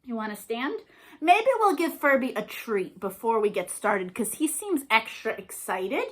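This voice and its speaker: A woman's voice: fairly high pitch, very animated, measured pace. A woman hosting a storytime for young children, reading aloud and chatting in an encouraging, sing-song way with questions and praise. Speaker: children's storytime host and librarian.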